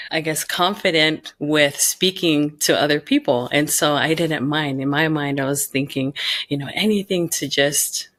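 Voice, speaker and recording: A woman's voice: sits low, fairly bright tone. A woman raised in Utah and born in Hawaii, telling her story in a rambling, restart-filled way as a podcast guest. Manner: rambling, many restarts and "you know". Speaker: guest, pageant founder.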